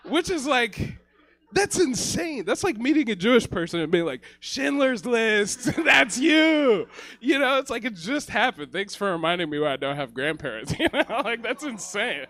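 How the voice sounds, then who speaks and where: A young man from Rwanda works through a stand-up set on a theater stage. His voice sits a bit high for a man, and he rambles loosely, padding lines with fillers and chuckling at his own punchlines. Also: a bit bright. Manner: rambling, many "you know" and "like" fillers, laughs at own jokes. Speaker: stand-up comedian.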